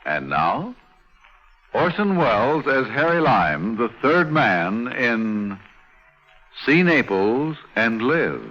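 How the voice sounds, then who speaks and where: A man's voice: mid-range voice, very animated, slow, long pauses. A man announcing a 1950s radio show in a grand, dramatic scripted style. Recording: muffled 1950s radio broadcast. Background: music.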